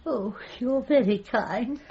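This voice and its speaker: An actress playing a grieving, guilt-ridden widow in a radio comedy drama, hesitant, plaintive and tearful. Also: female voice, fairly high pitch, slow pace, lo-fi sound, slight background noise. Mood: guilty, anxious.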